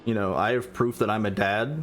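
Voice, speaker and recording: A man's voice: lowish voice, fairly even intonation. A man in his late thirties giving casual live commentary on an online race, joking with his co-host and speeding up when he calls lap times. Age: 30s. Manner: laid-back banter, quickens when calling lap times.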